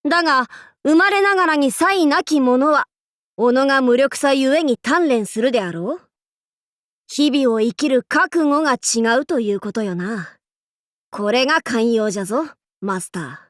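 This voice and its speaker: Proud anime game warlord, high voice, pompous archaic lordly speech, playful swagger, dry close sound. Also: female voice, lively intonation, moderate pace, no echo. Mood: quiet, solemn. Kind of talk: anime game character voice lines.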